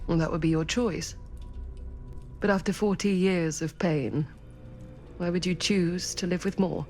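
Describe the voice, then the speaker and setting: An actress playing a formal, measured, politely menacing hostess and collector in a cinematic video game. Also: female voice, on the low side, steady tone, darkish tone. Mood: coolly commanding. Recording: music under the voice.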